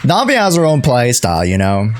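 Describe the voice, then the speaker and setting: Very low-voiced woman streamer, lively intonation. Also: a little fast, a crowd in the background. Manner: slangy, reactive. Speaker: game streamer. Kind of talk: casual playthrough commentary, reading dialogue along.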